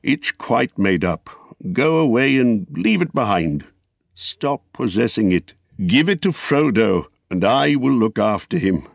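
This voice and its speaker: A man voicing a stern but protective old wizard in a storybook, giving firm, measured commands. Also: medium pitch, expressive, gravelly, dry recording, studio quality.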